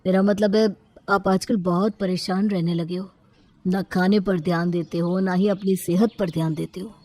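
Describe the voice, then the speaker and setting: A woman voicing a concerned younger brother in a storybook tale, earnest and gently coaxing. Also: steady tone, normal speed, lightly acted. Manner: earnest, coaxing, low-set voice.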